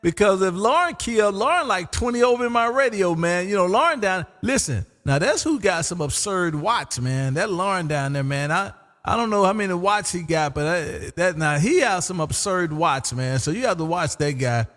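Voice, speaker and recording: A man's voice: high voice, animated, normal speed, a bit bright, in a large hall. A jovial, teasing man hosting a live amateur radio stream in casual chat, repeating himself and peppering his talk with "man". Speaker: amateur radio operator and livestream host. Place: home radio room.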